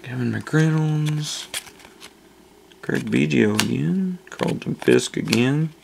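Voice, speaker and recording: Host, male, unhurried low-key delivery, very gravelly, faint room hiss. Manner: slow, low-key listing of names. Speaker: channel host opening card packs. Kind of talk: casual card-opening commentary. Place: indoors at a table.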